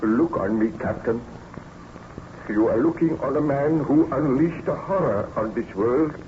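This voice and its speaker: A man's voice: medium pitch, animated, unhurried, close to an everyday voice. A man playing a tormented, guilt-ridden scientist nobleman in formal, theatrical old-time radio drama style. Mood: urgent, haunted. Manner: grave, melodramatic, formal theatrical diction. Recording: dull, noisy old radio recording.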